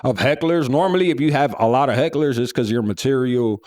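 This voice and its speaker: A man in his thirties with a loud, deep voice, speaking bluntly and casually as an interview guest. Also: a little fast, no echo, clean studio sound. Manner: blunt and frank, swears casually. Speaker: comedy club co-owner, guest.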